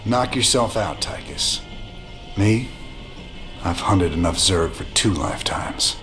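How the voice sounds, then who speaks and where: A weary rebel commander with a slightly low, dry drawl. He keeps it laconic and grim, with music playing behind him. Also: lively intonation, slow. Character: weary, tough male rebel commander, war veteran. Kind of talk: video game cutscene acting.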